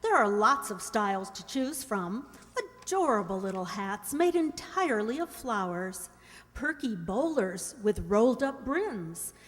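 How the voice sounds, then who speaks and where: Female commercial announcer, vintage radio ad read, measured pace, reverberant hall. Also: mid-range voice, big pitch swings. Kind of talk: scripted 1940s-style radio advertisement. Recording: echoey hall, long reverb.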